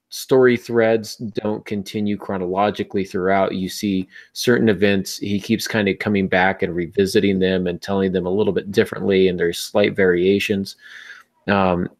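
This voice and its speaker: A man's voice: fairly low pitch, gravelly. A man hosting a podcast in a casual, conversational way, with wry asides as he talks about writing. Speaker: podcast host.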